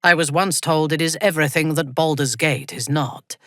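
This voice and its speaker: An actress playing a cold, ruthless dark elf warrior-priestess in a video game, haughty and deliberate, with a breathy, husky voice. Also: female voice, low-pitched, fairly even intonation, no room sound, studio quality. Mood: imperious. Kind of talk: video game character dialogue.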